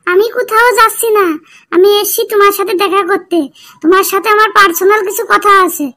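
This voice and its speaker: A woman voicing an aggrieved, pleading young village wife in a comedy cartoon, with a pitched-up voice. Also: steady tone, brisk, sharp tone. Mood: insistent. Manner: plaintive, insistent.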